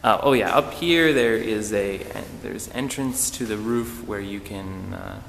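A young man giving a casual, halting, understated walk-through tour on a handheld camera. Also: gravelly, fairly bright tone, slight background noise. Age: young adult. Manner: low-key, halting, understated. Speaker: exchange student giving a tour. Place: indoors in a student dormitory, walking through rooms.

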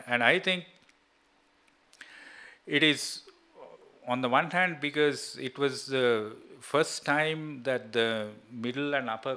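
A middle-aged man from Sri Lanka answering at an academic seminar, haltingly, with many filler tags like a habitual check-in. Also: lowish voice, bright, forceful tone. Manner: halting, with frequent "you know" and "right?" tags. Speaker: seminar speaker. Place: small seminar room indoors. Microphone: gooseneck table microphone.

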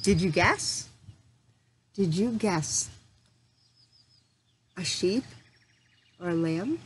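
A middle-aged woman leading a lesson for young children, asking simple questions, pausing for answers and encouraging them. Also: lowish voice, lively intonation, noisy background. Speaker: teacher.

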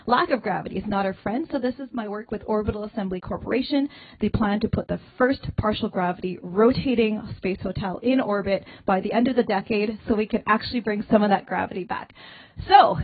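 A young woman giving an energetic conference talk on space medicine, stressing key phrases with a handheld mic. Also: mid-range voice, breathy edge. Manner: energetic, punchy emphasis with slowed key phrases. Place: indoor wood-paneled hall, at a podium. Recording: tinny phone-like sound.

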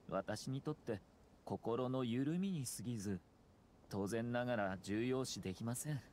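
Guy playing a polite scheming young clan lord, anime game, smooth formal talk, mid pitch that moves around a lot. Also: a little mellow, slight background noise, lightly acted. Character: poised young nobleman clan head, courteous, calculating. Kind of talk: Japanese anime game acting. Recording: game music underneath.

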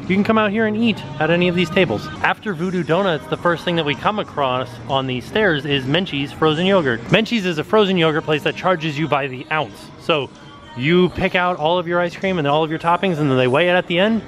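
A man's voice: a little high, a little fast, fairly bright tone, faint music behind. A man narrating a walking tour of an outdoor shopping and dining area, chatty and pointing things out as he walks, recorded on a handheld camera. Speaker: vlogger giving a walking tour.

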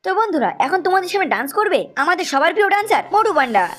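A woman's voice: extremely high pitch, sing-song, racing, fairly bright tone. A woman narrating for a cartoon channel, making scripted appeals to viewers.

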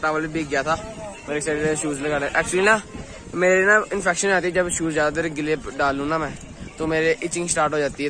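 Hoarse young man vlogging to his phone. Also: fairly high pitch. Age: young adult. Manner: chatty, excited, talks straight to the viewer. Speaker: travel vlogger. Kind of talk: casual vlog to camera. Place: outdoors at night on a crowded pilgrimage route, and in a busy eatery. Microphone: phone held at arm's length. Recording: crowd noise in the background.

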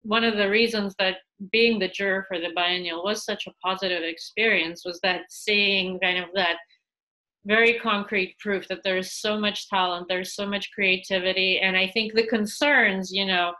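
A woman's voice: mid-range voice, normal speed, poor audio. A woman in her thirties from Russia, a museum curator talking on a video call with lots of fillers and restarts. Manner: animated, gestures while talking, many fillers and restarts. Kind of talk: casual video-call interview. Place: small room at home. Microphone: laptop webcam, video call.

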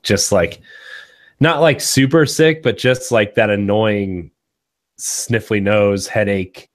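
A young man with a cold-hoarse voice hosting a casual gaming podcast, rambling and restarting his thoughts, recorded on a studio mic at home. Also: fairly low pitch, slow pace. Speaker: podcast host. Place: small home studio with green backdrop. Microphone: studio condenser mic on boom arm.

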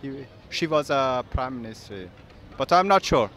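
A man's voice: medium pitch, lively intonation, moderate pace, a bit bright, a little hiss or hum. A young man from Afghanistan answering street interview questions hesitantly and apologetically in a busy city square. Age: young adult. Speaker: passerby interviewee. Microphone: interviewer's handheld mic.

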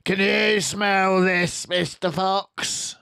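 A greedy storybook farmer shouts his taunts in a raised, pushed voice, voiced by a man. The voice lifts well above the reader's own and stays loud, dry and close. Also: on the high side, animated, takes it slowly, creaky, no echo, pitched above the performer's own voice, exaggerated voice. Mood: taunting. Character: gruff greedy male farmer.

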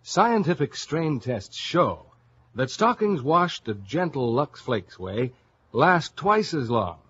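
A husky-voiced man reading a commercial on an old radio show. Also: on the high side, sing-song, takes it slowly, a bit bright. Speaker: commercial announcer. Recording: dull old radio broadcast.

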